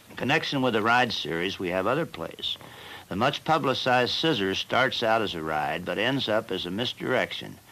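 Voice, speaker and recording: Man, coach narrating a training film, lowish voice, steady and matter-of-fact. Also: rough recording. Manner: measured, plain instructional delivery. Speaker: football coach.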